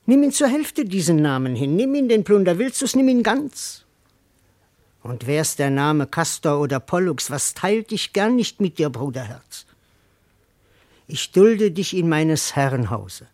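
An actor playing a wheedling, boastful comic servant in a classical verse radio play, speaking in a theatrical, declamatory way. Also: male voice, a little high, sing-song, unhurried, a little mellow. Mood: wheedling, cajoling. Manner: theatrical, declamatory, swooping delivery.

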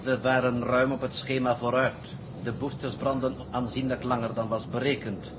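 An actor playing an unruffled, pedantic space mission coordinator, speaking formally and precisely in a 1960s radio drama. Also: male voice, medium pitch, flat delivery, moderate pace, natural voice. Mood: coolly pedantic. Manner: formal, stilted, precise wording. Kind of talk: 1960s Dutch science fiction radio drama acting. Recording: noisy vintage radio drama recording.

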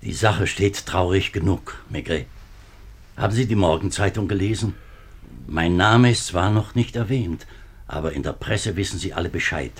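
Middle-aged government minister, low husky voice, agitated, worn down, formal, vintage radio play. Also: male voice, normal speed. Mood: anxious, weary. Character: nervous, worn-down government minister, husband and father. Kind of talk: 1950s German radio drama acting.

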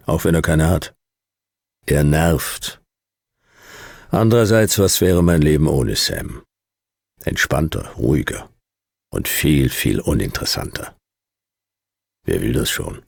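An actor playing a laconic, world-weary private detective in a radio play, narrating and talking in a dry, clipped, deadpan way. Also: male voice, a bass voice, gravelly, husky, no echo, full character voice. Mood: wry.

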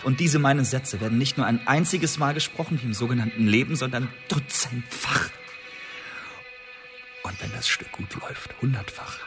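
A man's voice: mid-range voice, moderate pace, natural voice. Man acting eloquent Elizabethan poet-spy, echoing hall. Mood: fervent, pleading. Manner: eloquent, theatrical, insistent. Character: impassioned Elizabethan poet and secret agent pleading his case. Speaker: actor in a radio drama. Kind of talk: German radio drama acting, monologue-like dialogue. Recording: long reverberant hall, music underneath.

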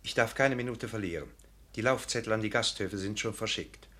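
A man playing a formal, stiffly courteous gentleman ironworks owner in a literary radio drama, with clipped phrasing. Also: lowish voice, brisk. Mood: stiffly polite.

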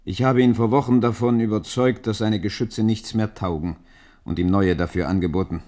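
An actor in a German radio play playing a stern, shrewd minister, measured and formal as he counsels his king. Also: lowish voice, fairly even intonation, darkish tone, natural voice. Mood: sly, assured. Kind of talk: German radio drama acting.

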